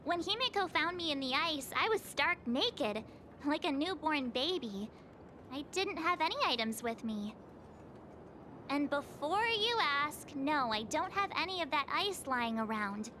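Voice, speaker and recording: A woman's voice: high-pitched, animated, unhurried, pushed and bright, a little hiss or hum, full character voice. A woman voicing a perky, naive young girl adventurer in a video game, with a chatty, informal manner. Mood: puzzled, apologetic. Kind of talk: scripted video game character dialogue. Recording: music behind the voice. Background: background music.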